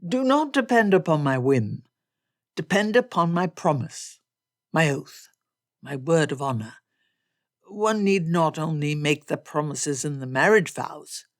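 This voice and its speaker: Woman voicing a proud, ardent young gentleman, low-pitched. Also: no echo, clean studio sound. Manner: formal, measured, courtly phrasing. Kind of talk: audiobook period romance dialogue.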